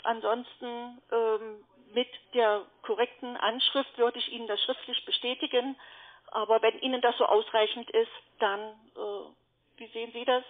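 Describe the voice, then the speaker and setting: A woman's voice: a little high, flat delivery, unhurried. A woman working as a call centre agent, speaking politely but hesitantly and evasively in an unscripted phone call. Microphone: telephone. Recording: narrow-band phone line.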